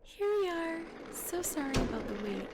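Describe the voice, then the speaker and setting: A woman's voice: high voice, expressive, normal speed, background noise. A woman playing a friendly, professional midwife in an audio roleplay, chatty and politely explaining things. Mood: cheerful, helpful.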